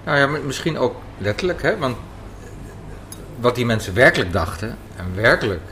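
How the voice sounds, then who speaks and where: A man's voice: mid-range voice, big pitch swings, moderate pace, bright, forceful tone. A man interviewing someone in a conversational style. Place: café interview. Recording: some background noise and ambience.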